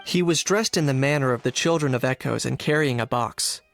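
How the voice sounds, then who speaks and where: A gravelly, inquisitive sleuth. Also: male voice, mid-range voice, brisk, fairly bright tone, soft background music. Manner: thoughtful, inquisitive inner monologue. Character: shrewd young man investigating smugglers. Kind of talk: game narration and monologue.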